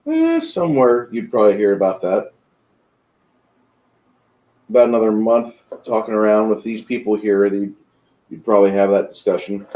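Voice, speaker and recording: Male game master, lowish voice, wry, echoey room. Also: sing-song, normal speed, long pauses, lo-fi sound. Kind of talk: casual chat on a livestream.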